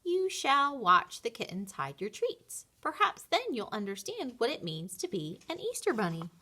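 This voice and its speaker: A woman's voice: fairly high pitch, huge pitch swings, normal speed, sharp tone, full character voice. A woman voicing a stern male great hare in a storybook, demanding and authoritative.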